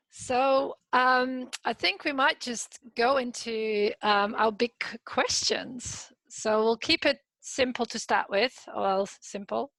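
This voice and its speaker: A woman with a slightly high, gravelly voice moderates a panel. She welcomes each speaker briskly. The sound is dry and comes over a video call. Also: a bit bright, no echo, low-quality recording. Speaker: facilitator.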